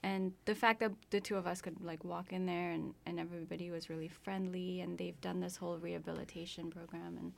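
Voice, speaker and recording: Young woman, casual guest, upbeat, low-pitched with vocal fry. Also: little pitch movement, brisk, few pauses. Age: young adult. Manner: upbeat, casual, says "like" often. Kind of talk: casual interview chat.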